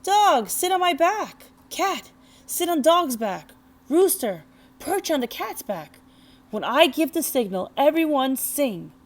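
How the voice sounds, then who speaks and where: A woman's voice: sits high, very animated, slow pace, pitched above the performer's own voice, heavily acted. A woman voicing an eager, kindly old male donkey in a children's storybook. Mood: bossy, keen.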